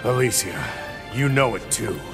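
An actor playing an earnest, battle-weary squad leader in video game cutscenes, urgent and commanding. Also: male voice, medium pitch, animated, measured pace, loud music behind the voice. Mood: grave, determined.

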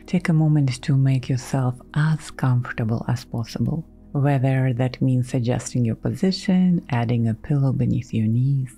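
A woman guiding a relaxation meditation in a soft, breathy half-whisper, giving gentle, soothing instructions with long pauses. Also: very deep voice. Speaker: meditation guide. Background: background music.

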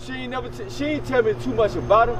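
A man's voice: very high voice, fast, a crowd in the background. A man in his forties arguing outdoors on a street, talking streetwise and profane, often asking "you know what I'm saying". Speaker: passerby arguing with preachers. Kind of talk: casual street argument. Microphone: picked up from a distance, no mic. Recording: distant voice with traffic noise.

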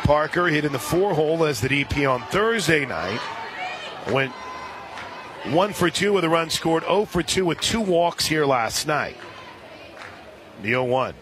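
An American man doing live radio play-by-play commentary of a softball game. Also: a little high, lively intonation, unhurried, pauses often. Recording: outdoor stadium broadcast with crowd and music. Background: ballpark crowd and music.

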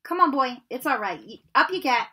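A woman voicing a brisk female teacher, stern but caring, with a firm, commanding manner. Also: high voice, sing-song, bright, forceful tone, breathy edge, pitched above the performer's own voice, exaggerated voice.

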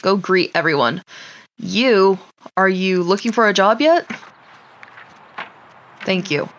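A woman's voice: mid-range voice, animated, slow pace, fairly bright tone, slight background noise. A woman casually commenting on her life-simulation gameplay, chatting to her sims and laughing often.

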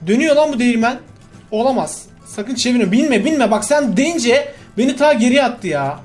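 A man's voice: very high voice, lively intonation, moderate pace, soft background music. A man giving casual, slangy co-op gameplay commentary to a friend, full of exclamations and repeated words. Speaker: gaming video host.

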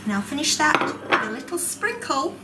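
A woman in her forties calmly demonstrating a recipe step by step as a cooking instructor, recorded from a distant camera in a large college kitchen classroom. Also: sits high, moderate pace. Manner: calm, clear, instructive. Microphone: distant camera mic. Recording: echoey room, voice from afar. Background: kitchen clatter and room noise.

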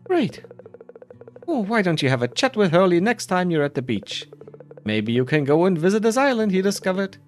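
A performer voicing a cheerful, excitable animal villager in a cosy life game, over music. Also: medium pitch, normal speed, pitched above the performer's own voice, natural voice. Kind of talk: voiced game dialogue.

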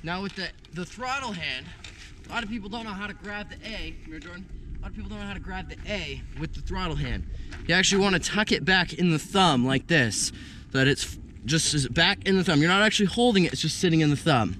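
A young man teaching paramotor hookup outdoors in an open field, energetic and punctuating steps with punchy exclamations. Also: high-pitched, fast talker, background noise. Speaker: paramotor instructor. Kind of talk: hands-on outdoor demonstration.

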